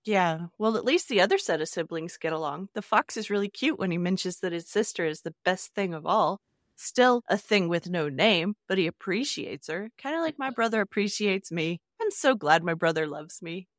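A woman's voice: fairly low pitch, lively intonation, normal speed, dry recording. Playful woman, close dry sound. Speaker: podcast co-host. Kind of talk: casual banter.

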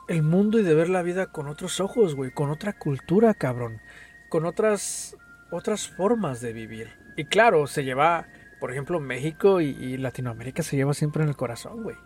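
Brief: young Mexican man, Mexican accent, higher-pitched, profane street slang, faint music underneath. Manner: slangy, swears a lot, says "güey" constantly. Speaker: podcast co-host. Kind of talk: casual chat.

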